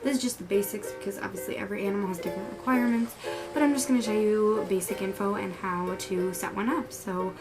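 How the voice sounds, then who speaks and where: A woman's voice: mid-range voice, steady tone, fast, poor audio. A young woman with a cold, sounding a little tired and under the weather, chatting casually through a pet care how-to with lots of likes and ums. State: sick, a little hoarse and tired. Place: bedroom at home. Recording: background music throughout. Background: background music.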